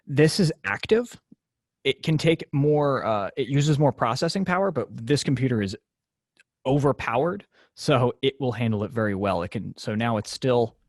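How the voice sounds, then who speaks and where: A man teaching a software class over a video call, explaining casually with lots of fillers. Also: mid-range voice, no room sound, clean studio sound. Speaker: class instructor.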